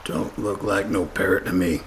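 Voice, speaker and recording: A shifty pirate deckhand in a comic radio play. The voice is very deep and forceful, with a rambling stage pirate drawl and folksy turns of phrase. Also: male voice, steady tone, moderate pace, slight background noise. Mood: stubborn, shrewd. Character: gruff, dim, shifty pirate sailor.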